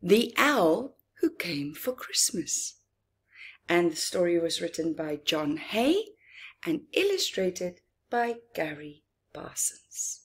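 Very slow, expressive woman storyteller. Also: lowish voice, husky. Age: middle-aged. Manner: expressive, dramatic pauses and emphasis, chatty asides to listeners. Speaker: children's story reader. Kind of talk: read-aloud storytelling for young children. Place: living room, indoors.

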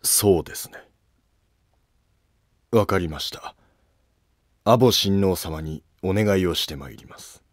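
Man as deep-voiced, deferential court official. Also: no echo, clean studio sound. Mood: earnest, cautious. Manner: formal, humble, measured speech. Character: loyal male court official, deferential and earnest. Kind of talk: scripted historical drama dialogue.

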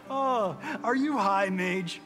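An actor voicing a cocky, flirtatious movie-star martial artist in a video game, swaggering and slangy. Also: male voice, high voice, slow pace, sharp tone, soft background music, heavily acted. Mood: brash exasperation. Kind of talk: video game fight-intro banter.

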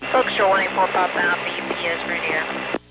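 A man's voice: high-pitched, level tone, normal speed. A man, a pilot, giving brief readbacks over an aircraft radio. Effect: air traffic radio. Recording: noisy aircraft radio transmission.

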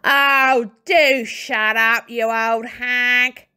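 A woman voicing a nasty, scheming old husband in a storybook, speaking in a slow, sly and taunting way. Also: fairly high pitch, fairly even intonation, bright, forceful tone, clear, resonant tone, pitched above the performer's own voice, heavily acted. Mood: rude.

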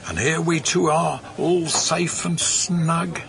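A hearty ghost from another age in a radio play. His voice is fairly high and gravelly, with roguish, old-fashioned turns of phrase, over faint noise. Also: slow pace. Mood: jovial, teasing. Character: jovial archaic ghost man, a hearty pub drinker. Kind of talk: audio drama acting.

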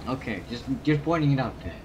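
A man's voice: medium pitch, lively intonation, quick pace, far from the mic, highs cut off. Dutch-accented male gamer, dry and easy, noisy chat. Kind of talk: game voice chat.

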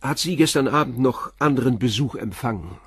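A calm probing police inspector. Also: male voice, medium pitch, slightly rough, dry recording, clean studio sound. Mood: steady. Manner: measured, persistent questioning.

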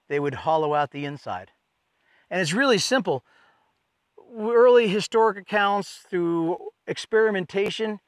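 A man teaches outdoors in a forest, folksy and enthusiastic as he explains. His voice sits a little high for a man, and the sound is close and dry, with no room around it. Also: a bit bright, dry recording.

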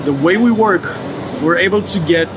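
A man's voice: high-pitched, normal speed, background noise. A man in his thirties, a native Hebrew speaker, explaining his company's product in an interview at a trade show booth, with many fillers and restarts. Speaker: startup executive.

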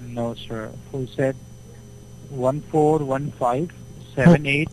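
A man's voice: mid-range voice, steady tone, takes it slowly, darkish tone, a little hiss or hum, low-quality recording. A man working as a tech support agent who answers in a formal, polite way and keeps asking for an account number. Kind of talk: customer service call.

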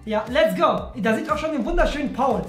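Young male reaction host, very high for a man, enthusiastic casual chat, faint music behind. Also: expressive, fairly quick, a bit bright, echoey room. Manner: enthusiastic, addresses viewers. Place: small indoor gaming room.

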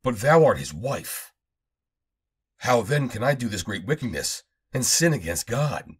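A man reading the Bible aloud as scripted narration, in a measured, declamatory manner, phrase by phrase. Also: medium pitch, sing-song, breathy edge. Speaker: Bible reader.

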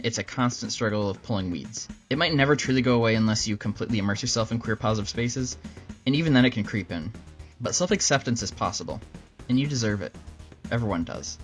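Fast-talking, deep-voiced deadpan man over music. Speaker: host answering viewer questions. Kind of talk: scripted Q&A narration with deadpan jokes. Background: music under the voice.

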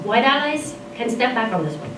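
A woman's voice: on the high side, very animated, a little fast. Firm woman speaking up from across a noisy room. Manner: switches between Spanish and English, firm disagreement. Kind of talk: bilingual audience comment in discussion. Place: seminar room, indoors. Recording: distant, echoey room with noise.

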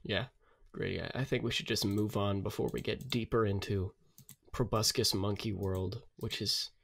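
A man's voice: on the low side, fairly even intonation, moderate pace, gravelly, fairly bright tone, slight background noise. A man co-hosting a podcast in casual comic chat, talking informally and with emphasis. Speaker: podcast co-host.

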